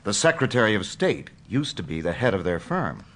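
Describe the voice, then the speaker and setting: An actor playing a wry, careful, determined newspaperman in a naturalistic audio drama, telling things in a measured way. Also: lowish voice, big pitch swings, fairly bright tone. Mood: guarded, confiding.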